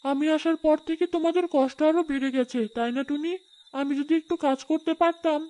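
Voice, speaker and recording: A woman playing a gentle, self-doubting young fairy living as a human in a cartoon fairy tale, earnest and plaintive. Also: sits high, steady tone, a little fast, lightly acted. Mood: sad, resigned.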